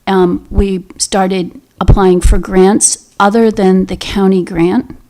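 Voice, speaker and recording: Soft-voiced lady talking slow at a town meeting mic, lots of ums. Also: mid-range voice, little pitch movement. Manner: soft-spoken, halting with fillers. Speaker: senior center representative giving public comment. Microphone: table microphone.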